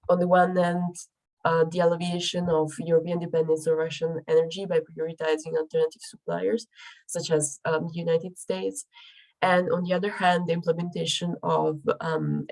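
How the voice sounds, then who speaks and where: Young woman, student presenter, voice worn by a cold, lowish pitch, careful delivery with ums. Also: little pitch movement, normal speed, vocal fry, darkish tone, rough recording. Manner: careful, many filler ums and restarts. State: voice affected by a cold. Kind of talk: academic presentation.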